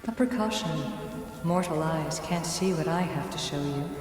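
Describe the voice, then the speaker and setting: A woman's voice: deep voice, normal speed. An actress playing a mysterious ancient female being in a video game, formal and detached, in ethereal reverb. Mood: solemn, detached. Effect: heavy ethereal reverb. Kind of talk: video game cutscene acting. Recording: cavernous echo, long reverb, music behind.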